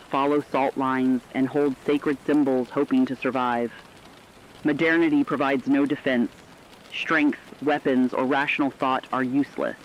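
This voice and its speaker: A man's voice: mid-range voice, dull audio, slight background noise, lightly acted. A man playing a solemn radio host, warning listeners of supernatural doom in portentous, emphatic scripted narration.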